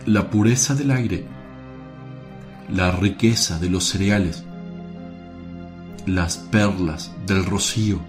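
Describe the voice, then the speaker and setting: Man acting slow, solemn gentle preacher over music. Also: on the low side. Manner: solemn, measured, declamatory. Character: Jesus, gentle solemn male teacher preaching outdoors. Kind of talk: dramatized religious radio play, preaching.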